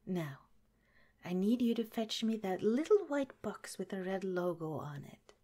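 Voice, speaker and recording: A gentle, caring head scientist in charge of a secret underground lab, as a woman plays her for an ASMR roleplay. She speaks in a soft, unhurried whisper, taking her time over every word. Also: mid-range voice, lively intonation.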